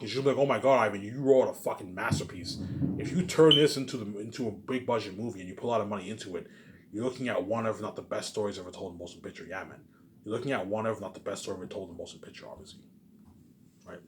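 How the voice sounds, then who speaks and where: A man's voice: fairly low pitch, fast, noisy background. A man giving a rambling, informal monologue in a boastful, repetitive, emphatic way, swearing now and then. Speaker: vlogger.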